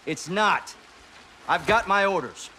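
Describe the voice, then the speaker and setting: Smug Southern American mercenary commander. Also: male voice, sits high, expressive, slow, pushed and bright, a little hiss or hum, full character voice. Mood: cold, controlled. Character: smug, commanding male American private military contractor. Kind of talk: cinematic video game acting.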